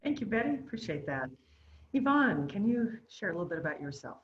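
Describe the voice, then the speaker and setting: A woman's voice: mid-range voice, big pitch swings, fairly quick, highs cut off. A woman moderating a panel, thanking each speaker graciously and handing off to the next. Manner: gracious, brief hand-offs.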